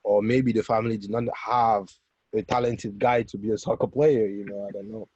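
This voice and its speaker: A low-voiced man from Cameroon recounts his own life in a halting, self-correcting way. His voice is dark and husky, heard over dry, dull webinar audio. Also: steady tone, no echo. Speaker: interview guest. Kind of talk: autobiographical talk in a webinar interview.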